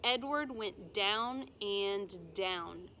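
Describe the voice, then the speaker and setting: A woman teacher reading a children's storybook aloud in a deliberate, expressive way, explaining things to her students. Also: medium pitch, a little hiss or hum.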